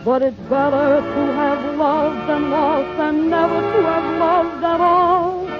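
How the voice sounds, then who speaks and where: A woman's voice: sits high, flat delivery, takes it slowly, over loud music. A woman singing a torch song in the style of 1930s radio, with musical accompaniment. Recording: dull lo-fi 1930s radio recording.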